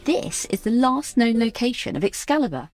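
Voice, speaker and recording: A woman's voice: on the high side, big pitch swings, normal speed, darkish tone, soft background music. A woman playing a poised, scholarly assistant in an audio drama, explaining things in a precise, assured manner. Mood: informative, assured. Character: Miss Turner, poised scholarly female assistant.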